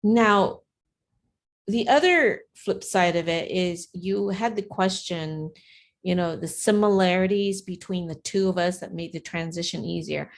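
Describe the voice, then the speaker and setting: A woman's voice: on the low side, a little slow. A middle-aged woman, a parent giving earnest, chatty interview answers with many "you know"s. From grew up in America, Vietnamese heritage.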